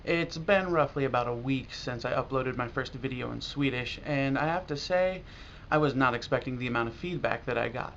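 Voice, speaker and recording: An American man talking casually and reflectively in a video blog as a language learner, halting now and then with pauses. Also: medium pitch, fairly bright tone. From United States. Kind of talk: casual talk to camera about learning. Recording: dull lo-fi audio with clear noise.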